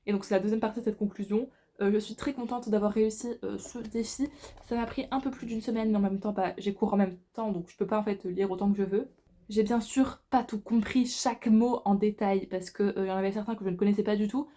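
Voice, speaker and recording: Mellow young woman chatting casually. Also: mid-range voice, steady tone, quick pace. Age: 20s. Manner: informal, slangy, peppered with English slang. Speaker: book vlogger. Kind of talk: casual chat to camera.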